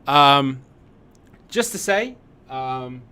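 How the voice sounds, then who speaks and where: A man's voice: mid-range voice, expressive, very slow, pushed and bright, clean tone. A man in his thirties co-hosting a live game event stream in a laid-back, jokey way. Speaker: game community manager. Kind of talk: casual livestream co-hosting. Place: studio in Korea.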